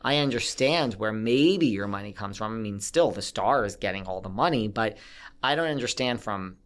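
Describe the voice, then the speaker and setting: A man's voice: lowish voice, lively intonation, moderate pace, a bit bright. A man from Connecticut, a podcast guest, telling gossip stories in a chatty, emphatic way. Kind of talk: casual gossip chat.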